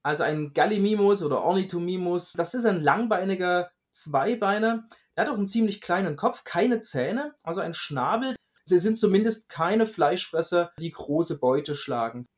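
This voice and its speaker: A man's voice: high-pitched, animated, normal speed. A man giving informal explanations as an expert researcher, on a slightly muffled recording. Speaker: dinosaur researcher, expert guest.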